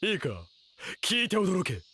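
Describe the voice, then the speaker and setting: A man's voice: high-pitched, big pitch swings, a little slow, bright, forceful tone, husky, exaggerated voice. A man playing a pompous, theatrical young self-styled mad scientist in an anime radio drama. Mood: triumphant boasting.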